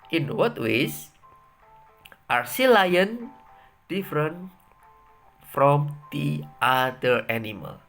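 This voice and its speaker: A person reading a children's story aloud in a deliberate, halting delivery. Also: medium pitch, lively intonation, creaky, a bit bright, faint music behind. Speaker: storybook reader.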